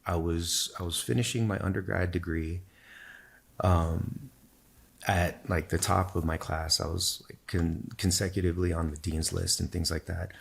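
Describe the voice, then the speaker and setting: A podcast guest whose first language is Spanish tells his story in a thoughtful, measured way. His voice sits very low, with a gravelly fry and a husky grain. He explains his points carefully and steadily. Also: fairly even intonation. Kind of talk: reflective personal storytelling in conversation.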